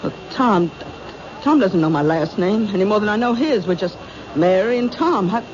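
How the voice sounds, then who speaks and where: A woman's voice: medium pitch, animated, moderate pace, a little mellow, a little hiss or hum, natural voice. An actress playing a terrified woman in a 1970s radio drama, stammering and pleading breathlessly. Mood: bewildered fear. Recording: muffled old radio broadcast.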